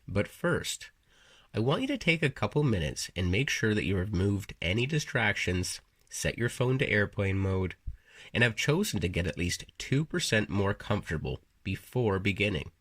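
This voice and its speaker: A man narrating a guided meditation as a story, with deliberate, soothing, hypnotic delivery and storyteller flourishes. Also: on the low side, very animated, a bit bright, slightly rough, no room sound, studio quality.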